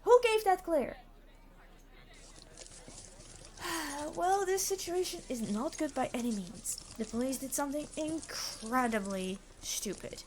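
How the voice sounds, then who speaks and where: Woman acting high-voiced, exasperated supervillain. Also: lively intonation, a little slow, hardly any pauses, a little mellow, slight background noise. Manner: dry, formal, bossy, matter-of-fact. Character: famous immortal supervillain, exasperated, reluctantly decent. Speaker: robbery leader. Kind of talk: roleplay audio acting.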